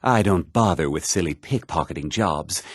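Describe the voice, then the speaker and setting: A man playing a self-assured, smooth-talking thief and merchant in a fantasy video game, with an expressive conversational delivery. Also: sits low, moderate pace, no room sound, clean studio sound. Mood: self-assured, casual. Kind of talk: scripted fantasy game character dialogue.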